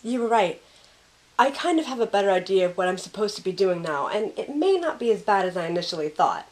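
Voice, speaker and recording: A woman's voice: medium pitch, big pitch swings, fairly quick, lightly acted, rough recording. A young woman playing a guarded, withdrawn student in an amateur audio drama. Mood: softer, relieved.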